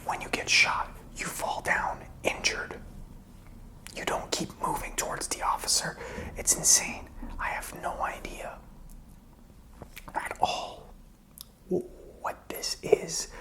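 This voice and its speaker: A man playing a wary but helpful apocalypse survivor in a whispered, soft-spoken ASMR roleplay, breathy, hesitant and conversational. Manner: hesitant, low-key, conversational. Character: wary, helpful man sheltering a stranger during zombie apocalypse.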